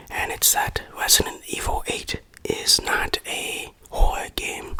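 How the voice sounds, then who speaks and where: A person whispering an informal ASMR-style review in a breathy, unvoiced, measured voice. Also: pushed and bright. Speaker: game reviewer and ASMR host.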